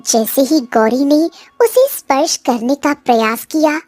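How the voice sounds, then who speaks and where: High-voiced, slow female story narrator. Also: expressive, a little mellow, no echo. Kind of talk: scripted children's story narration.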